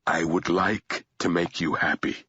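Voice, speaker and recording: A man voicing a weary, guarded male prisoner who answers an interrogation in a terse, flat way. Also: sits low, unhurried, slightly rough, no echo, voice pushed down, heavily acted. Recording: dull, lo-fi audiobook recording.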